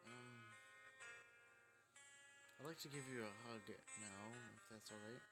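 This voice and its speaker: An eager, forgiving young male student in a visual novel, voiced by a man. He is earnest and a little hesitant, in a medium-pitched voice over prominent music. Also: slow pace, lightly acted. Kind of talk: visual novel character reading.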